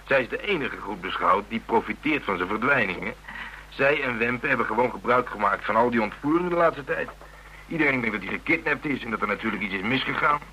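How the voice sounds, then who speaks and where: Radio drama inspector: gruff, wry and stubborn, deep-set pitch, hard bright edge. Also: male voice, a little hiss or hum, rough recording. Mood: dogged. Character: gruff, dogged, sardonic male police inspector.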